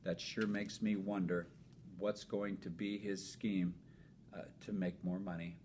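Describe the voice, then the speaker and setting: A man who teaches elementary school reads a children's storybook aloud in an expressive, emphatic way. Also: a little slow. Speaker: fifth grade teacher reading aloud. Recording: noisy with low rumble.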